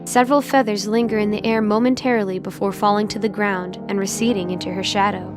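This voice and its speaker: Casting: woman narrator for an audio drama, medium pitch, dramatic scripted read with light music bed. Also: fairly even intonation, fast talker.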